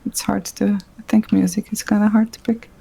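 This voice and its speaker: Gravelly-voiced woman chatting bluntly. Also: medium pitch, flat delivery, brisk, warm tone. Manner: chatty, blunt, swears casually, frequent "like". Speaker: podcast co-host. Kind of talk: casual chat.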